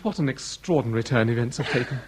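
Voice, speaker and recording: An actor playing an ardent, jealous aristocratic suitor, impassioned and ornate, in melodramatic period radio drama. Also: male voice, mid-range voice, quick pace, background noise, close to an everyday voice. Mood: marvelling.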